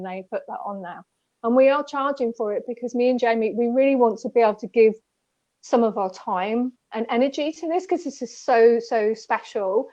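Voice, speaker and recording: A woman's voice: a little high, darkish tone, no room sound, lo-fi sound. A woman co-hosting a casual spiritual chat, explaining at length with many restarts. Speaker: co-host.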